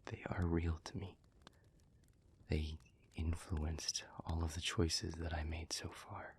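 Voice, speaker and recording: Very deep, hushed man as a hesitant demon. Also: level tone, slight background noise, full character voice. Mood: hesitant, earnest. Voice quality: breathy, hushed, near-whispering low voice. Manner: halting, earnest, careful, with long pauses. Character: gentle, hesitant, remorseful male demon speaking intimately to listener. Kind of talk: intimate audio roleplay acting.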